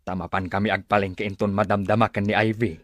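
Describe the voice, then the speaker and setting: A man's voice: fairly low pitch, little pitch movement, fast, no echo, studio quality, pitched below the performer's own voice, close to an everyday voice. A man playing a humble, hospitable rural father in a radio drama, speaking courteously.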